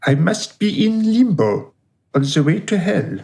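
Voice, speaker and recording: An actor playing a boastful, menacing dead serial killer in a radio drama, sneering and theatrical. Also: male voice, high-pitched, very animated, a little slow, vocal fry, heavy background noise, full character voice. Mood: wary, sneering.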